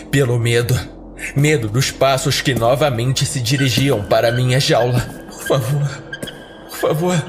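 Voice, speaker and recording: Um homem com sotaque brasileiro narrando uma história de terror em primeira pessoa, de modo pausado e dramático. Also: male voice, medium pitch. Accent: Brazilian. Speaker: horror podcast narrator. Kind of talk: scripted first-person horror narration.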